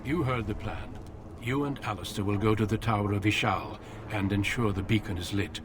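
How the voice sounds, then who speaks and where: A dutiful older warrior commander from a fantasy game, played by a male actor. His low voice is solemn and measured, carrying firm authority. Noise sits clearly behind it. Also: lightly acted. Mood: grave, firm. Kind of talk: dramatic fantasy video game dialogue.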